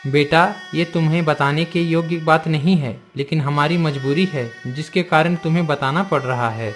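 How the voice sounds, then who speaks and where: A man's voice: on the high side, moderate pace, faint music behind, close to an everyday voice. A man playing a patient, forgiving exiled king and father in a cartoon moral story, with formal, respectful speech. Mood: gentle, regretful.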